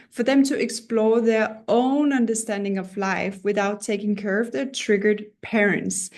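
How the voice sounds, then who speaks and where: Danish woman teaching an online parenting course. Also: mid-range voice. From Denmark. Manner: earnest, personal, with small restarts and self-deprecating asides.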